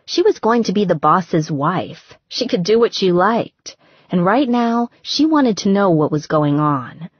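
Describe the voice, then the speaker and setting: A woman's voice: fairly low pitch, lively intonation, measured pace, slightly rough, no echo, highs cut off. A woman reading a story as scripted audiobook narration.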